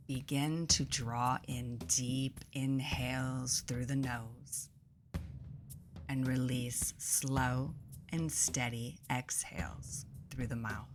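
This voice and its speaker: Woman doing guided meditation, really low soft voice, breathy endings, soothing and deliberate, music playing clearly behind her. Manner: soothing, deliberate, pausing between phrases. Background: instrumental music.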